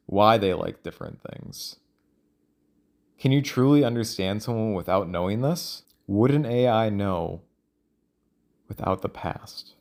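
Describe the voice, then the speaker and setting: A man speaking in a casual, rambling monologue, thinking out loud in a halting, self-questioning way. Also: on the low side. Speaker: solo audio log creator.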